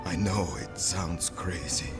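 An actor voicing a tormented male scientist turned vampire in a video game, halting, pained and pleading. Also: deep voice, steady tone, music in the background. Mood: anguished pleading. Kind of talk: video game cutscene acting.

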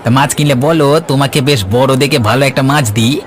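A man's voice: mid-range voice, fairly even intonation, fast talker, natural voice. A man voicing an eager, obliging village fishmonger in a cartoon. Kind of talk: cartoon dialogue. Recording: market bustle and faint noise.

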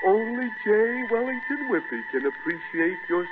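A man's voice: high-pitched, sing-song, moderate pace. A man playing a pompous, food-craving cartoon moocher in 1930s radio style, florid and theatrical. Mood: grandly pleased. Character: pompous, pleading, hamburger-craving cartoon glutton. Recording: tinny, hissy 1930s radio recording.

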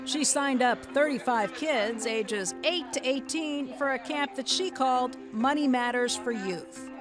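A woman's voice: high voice, a little slow, over music. An American woman reading scripted documentary narration.

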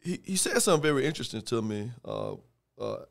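A man in his thirties with a raspy voice, a rapper talking slowly with many fillers as a guest in a podcast studio. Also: mid-range voice, wildly swooping pitch. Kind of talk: casual interview chat. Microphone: headphones and studio mic on boom.

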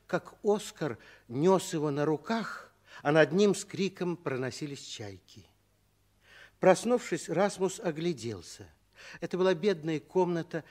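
A man narrates a 1960s children's radio play. His voice sits rather high for a man. He reads the scripted story expressively, as a storyteller does. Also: darkish tone.

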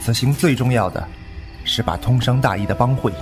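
A man playing a cold, proud young sect lord in a wuxia audio drama, measured and disdainful. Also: medium pitch, lightly acted. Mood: cold, commanding. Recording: loud music under the voice.

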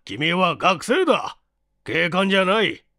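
A man's voice: sits high, sing-song, unhurried, pushed and bright, dry recording, studio quality, heavily acted. A man voicing an irascible, domineering old male scientist in a barking, imperious manner, for a story reading. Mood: stern.

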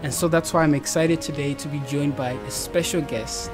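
A man's voice: a little high, steady tone, normal speed, music in the background. Upbeat young man hosting a podcast. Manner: upbeat, encouraging, laughs often. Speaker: podcast host. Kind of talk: casual video-call Bible podcast chat. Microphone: headset.

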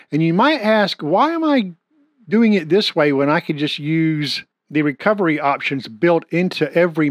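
A man's voice: on the high side, dry recording. A middle-aged man with a Southern US accent, a computer repair technician explaining a tech tutorial step by step, with a few fillers. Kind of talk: screen-recorded tech tutorial.

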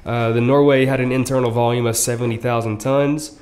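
A young man co-hosting a conversational podcast, talking through facts in a relaxed, chatty way. He fills gaps with "uh" and "like" and tosses in quick asides. His voice sits at an ordinary middle pitch for a man. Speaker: podcast co-host. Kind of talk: casual explanatory chat.

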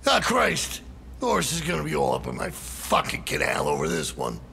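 A middle-aged crime boss in a cinematic game, his voice thick with gravel and swinging widely in pitch. He swears freely and sounds permanently fed up. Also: wildly swooping pitch, normal speed, bright, forceful tone, a little hiss or hum, exaggerated voice. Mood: exasperated. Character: hot-tempered, foul-mouthed cowboy-hatted male crime boss.

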